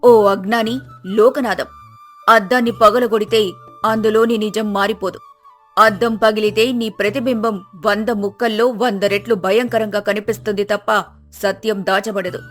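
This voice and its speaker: A woman's voice: mid-range voice, steady tone, normal speed, close to an everyday voice. Lady doing a holy magic mirror voice, grave n scolding, fairy tale style, music behind. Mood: stern warning. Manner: grave, admonishing. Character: solemn divine voice of a magic truth mirror.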